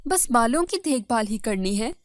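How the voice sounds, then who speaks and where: A woman's voice: fairly high pitch, expressive, normal speed, no room sound, clean studio sound, lightly acted. A woman voicing a jealous, wounded first wife in an emotional, protesting way for a dramatized story. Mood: annoyed.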